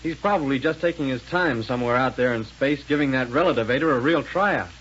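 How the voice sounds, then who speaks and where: A man acts out a brisk space adventurer from a 1930s radio serial. He is folksy and decisive, with a middle-pitched voice. The old recording is dull and faintly noisy. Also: a bit bright, natural voice. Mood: impatient, casual.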